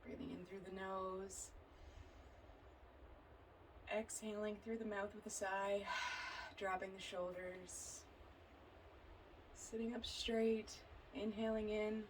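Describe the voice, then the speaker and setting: Female yoga instructor, gentle guided-relaxation delivery, flat even intonation, slight background noise. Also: medium pitch. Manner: soothing, gentle, unhurried phrasing.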